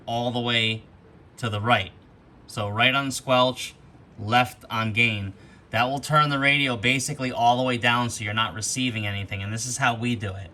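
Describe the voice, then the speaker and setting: A man presents an informal, unscripted gear demonstration. His voice sits at a middling pitch but is strikingly bright and hard-edged. He explains each step plainly, in the manner of a hands-on instructor. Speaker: host of a gear demonstration video. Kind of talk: unscripted product walkthrough.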